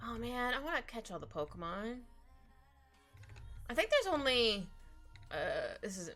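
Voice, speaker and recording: Bubbly, high-voiced young-sounding woman streamer. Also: sing-song, unhurried, a bit bright. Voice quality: slightly stuffed-up nose. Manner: bubbly, giggly, rambling with trailing asides. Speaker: art livestream host. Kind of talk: casual livestream chat while drawing. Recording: music behind the voice. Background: background music.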